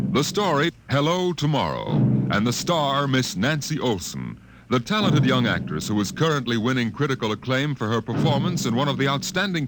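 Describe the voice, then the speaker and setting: A man narrating a 1950s radio science fiction show in a portentous, measured, theatrical announcer style. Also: mid-range voice, very noisy. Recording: old radio broadcast over loud music. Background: loud music.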